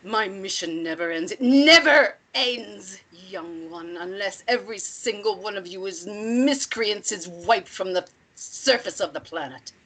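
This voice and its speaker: A woman's voice: medium pitch, unhurried, hardly any pauses, pushed and bright, poor audio. A woman playing a stern, vengeful, commanding woman who protects wronged children, in a screenplay table read. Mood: menacing, furious.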